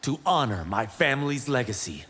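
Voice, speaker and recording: A man's voice: medium pitch, very animated, moderate pace, sharp tone, breathy edge, long reverb tail, heavily acted. A man playing a proud, boastful young Shaolin warrior monk in a fighting game. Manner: boastful, teasing. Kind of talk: video game fight banter.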